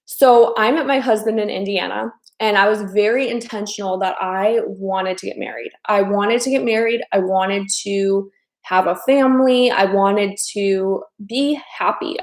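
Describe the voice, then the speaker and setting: A woman's voice: mid-range voice, echoey room. A chatty woman in her thirties from the American Midwest, hosting a casual live stream as a dating coach and often repeating her phrases. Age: 30s.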